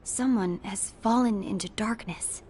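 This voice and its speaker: A woman's voice: mid-range voice, measured pace, a little mellow, slightly rough. A woman voicing a poised, analytical apprentice in a fantasy game, speaking formally and articulately. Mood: measured. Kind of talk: video game cutscene acting.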